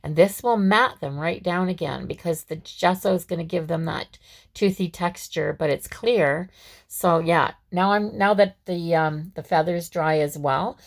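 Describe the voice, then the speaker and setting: Hoarse woman, low and raspy, chatty home craft tutor. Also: unhurried. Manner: chatty, with frequent fillers and restarts. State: scratchy, hoarse voice. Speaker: craft vlogger. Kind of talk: casual step-by-step craft tutorial.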